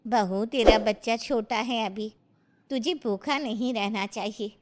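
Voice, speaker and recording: Woman playing a high-voiced concerned village sister-in-law. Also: unhurried, background noise, natural voice.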